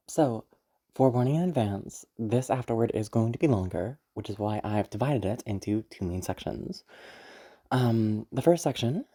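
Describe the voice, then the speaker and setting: A woman's voice: very deep voice, mellow. A woman who makes audio roleplays, talking casually to her listeners in a chatty way with lots of fillers. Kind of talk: casual afterword talk to listeners.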